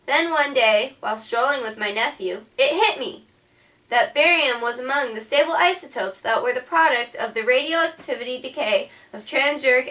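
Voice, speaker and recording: A woman's voice: high-pitched, sharp tone. A woman narrating a scripted first-person history story, reading it plainly and earnestly. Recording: distant mic with faint background music.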